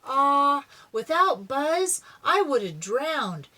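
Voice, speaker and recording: A woman's voice: sits high, big pitch swings, extremely slow, pushed and bright, pitched above the performer's own voice, heavily acted. A woman voicing a brave, kind young boy in a storybook, speaking earnestly and emphatically. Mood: sincere, modest.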